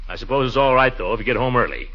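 An actor playing a pompous, excitable middle-aged bachelor uncle with a blustery, chuckling, theatrical delivery in a 1940s radio comedy. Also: male voice, medium pitch, racing, lightly acted. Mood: fussy, fond. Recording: muffled vintage 1940s radio recording.

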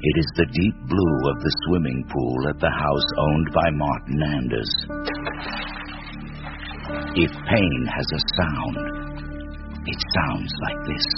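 An actor playing a grave, brooding narrator of an eerie radio drama, gravelly and ominous in his deliberate storytelling. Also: a bass voice, exaggerated voice. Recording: dull lo-fi radio recording under loud music.

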